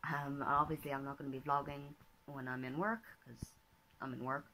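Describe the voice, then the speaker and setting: Hoarse low-voiced young woman vlogging. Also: little pitch movement, moderate pace, bright, forceful tone, slight background noise, rough recording. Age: 20s. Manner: chatty, rambling, self-deprecating, many ums. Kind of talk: casual vlog chat. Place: small bedroom indoors. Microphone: laptop or phone camera at desk.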